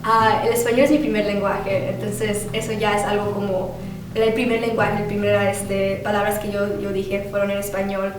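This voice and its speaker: A woman's voice: mid-range voice, little pitch movement, normal speed, background noise. A woman student speaking earnestly in an interview. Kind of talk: testimonial interview. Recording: echoey room from far.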